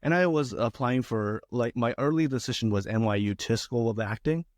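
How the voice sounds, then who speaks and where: A man's voice: medium pitch, normal speed, darkish tone, no echo, clean studio sound. A young man from China, a theater student, talking casually as an interview guest with many fillers and restarts. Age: young adult.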